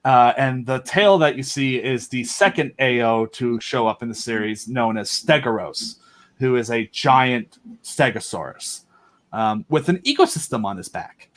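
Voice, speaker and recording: A man's voice: medium pitch, measured pace. A young man, a podcast guest, chatting casually and enthusiastically, full of fillers and restarts. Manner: rambling, enthusiastic, filler-heavy. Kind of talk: casual chat.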